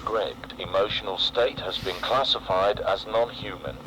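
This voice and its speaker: Low, clinical government broadcast announcer acted by a man. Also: unhurried, bright, forceful tone, a little hiss or hum, low-quality recording. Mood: clinical, calm. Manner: clinical, formal. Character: calm official government emergency broadcast announcer. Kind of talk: scripted public broadcast narration.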